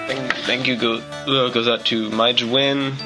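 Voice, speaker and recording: Male segment host, mid-low pitch, casual quiz and shout-out delivery over prominent guitar music. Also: little pitch movement, unhurried.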